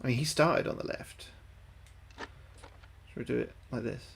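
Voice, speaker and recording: A man's voice: mid-range voice, moderate pace, creaky, a little hiss or hum. A young man livestreaming a game, thinking aloud to his chat, sweary and repeating himself. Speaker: livestreaming gamer. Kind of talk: casual gameplay commentary to chat.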